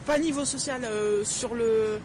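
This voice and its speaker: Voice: mid-range voice, unhurried. Person in their twenties, keeps fumbling for the word, street noise behind. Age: 20s. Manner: groping for words. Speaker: passer-by interviewed in the street. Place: city street outdoors. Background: traffic.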